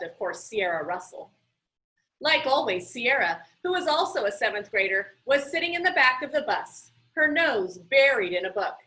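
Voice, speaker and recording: A woman reading a children's book aloud in an expressive storytelling style, animated and emphatic. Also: mid-range voice, poor audio.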